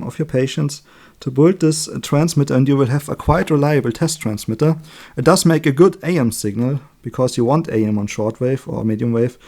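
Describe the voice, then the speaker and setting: A man with a German accent, a native German speaker, giving an informal hands-on electronics tutorial in a chatty, halting way, correcting himself as he works. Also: mid-range voice, warm tone. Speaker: electronics hobbyist demonstrating a circuit build. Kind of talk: informal hands-on tutorial, explaining while working.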